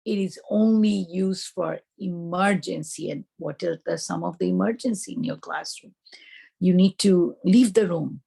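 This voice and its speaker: Woman, teacher trainer, Indian accent. Explanatory delivery with a gravelly edge, often asking and answering her own questions. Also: on the low side, moderate pace, warm tone. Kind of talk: instructional presentation to teachers.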